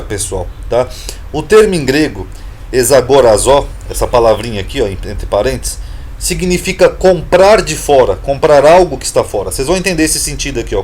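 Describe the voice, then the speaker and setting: A man's voice: medium pitch, a little mellow, a little hiss or hum. A Brazilian man explaining Bible terms in an informal lesson, emphatic and repetitive, asking rhetorical questions. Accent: Brazilian Portuguese. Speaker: Bible teacher, channel host.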